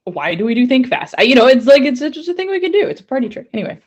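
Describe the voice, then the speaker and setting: A woman's voice: sits high, big pitch swings, rapid-fire, a little mellow. A woman co-hosting a casual podcast, chatty and rambling with frequent laughs. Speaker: podcast co-host. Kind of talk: casual banter.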